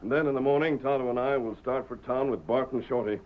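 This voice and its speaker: An actor playing an earnest, authoritative American masked hero in a measured 1930s radio western style. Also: male voice, mid-range voice, close to an everyday voice. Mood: calm, earnest. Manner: measured, declarative explaining. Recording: muffled, faintly noisy 1930s radio recording.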